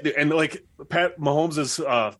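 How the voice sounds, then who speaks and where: A man's voice: on the high side, moderate pace, a bit bright. A man in his mid-forties telling stories in a casual podcast chat, with many filler words. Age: 40s. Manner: storytelling with lots of "like" fillers.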